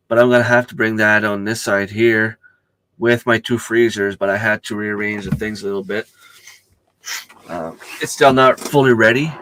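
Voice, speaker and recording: A middle-aged man with a hoarse voice from a cold, chatting casually on a home live stream and greeting viewers by name. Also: fairly low pitch, a bit bright, dry recording, rough recording. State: losing his voice from a cold. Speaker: channel host.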